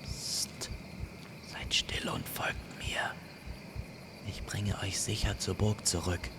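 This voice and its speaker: A man's voice: low-pitched, little pitch movement, slow pace, in a whisper. A man playing a gallant, protective male archer with courtly, commanding words in a fairy-tale audio story. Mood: hushed urgency.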